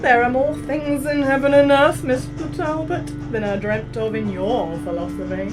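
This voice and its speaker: A woman's voice: high voice, fairly even intonation, distant mic, over loud music, lightly acted, low-quality recording. A woman playing a creepy, over-familiar male stranger in a comic gothic drama, unctuous and ominous, drawing out the words. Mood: ominous, insinuating. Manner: ominous, unctuous, drawn-out delivery.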